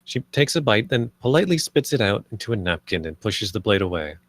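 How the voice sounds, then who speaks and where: A man's voice: on the low side, very animated, a little fast, no echo. A man reading stage directions as the narrator of a film script read. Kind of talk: scripted narration, present tense.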